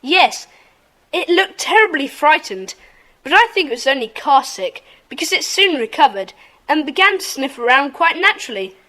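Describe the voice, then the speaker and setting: Woman as bright, high-voiced boy leader. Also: big pitch swings, moderate pace, full character voice. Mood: confident. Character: decisive, encouraging schoolboy leader of a children's club. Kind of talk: children's audio drama acting.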